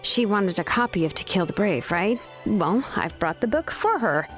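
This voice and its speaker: Woman as a chill considerate young game character, normal pitch, bit of music behind. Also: animated, moderate pace, lightly acted. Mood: friendly. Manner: casual, explanatory. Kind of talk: video game character acting.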